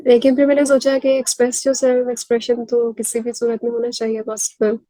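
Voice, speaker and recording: A Pakistani woman hosting a mental health series, hoarse from a sore throat, explaining earnestly as she switches between Urdu and English. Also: on the high side, completely flat delivery, measured pace, mellow, no echo, poor audio. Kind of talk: self-help talk to camera.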